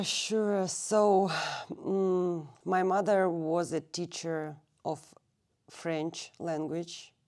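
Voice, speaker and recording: A woman in her thirties from Russia speaks slowly in a low, mellow voice. She is a native Russian speaker and often pauses to find the right English word. She is being interviewed in a library. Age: 30s. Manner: halting, searching for English words. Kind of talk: recorded oral history interview. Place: public library.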